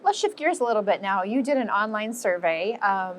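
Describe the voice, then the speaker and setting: A woman interviewer asking brisk, attentive questions and giving short acknowledgements. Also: mid-range voice, lively intonation. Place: trade show. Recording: faint ambient noise.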